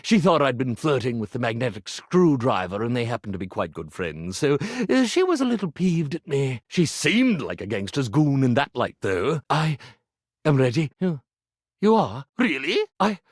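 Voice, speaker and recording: A man's voice: fairly high pitch, slightly rough, dry recording, studio quality. A man voicing a video game character, a theatrical ironing board who fancies himself a grand illusionist, florid, melodramatic and easily flustered. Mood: grateful, moved. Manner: flamboyant, melodramatic, florid wording with flustered hesitations.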